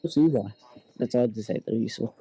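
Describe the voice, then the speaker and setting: A man's voice: mid-range voice, quick pace, dark tone, husky. A man co-hosting a casual reaction chat, making brief, matter-of-fact remarks. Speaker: reaction video co-host.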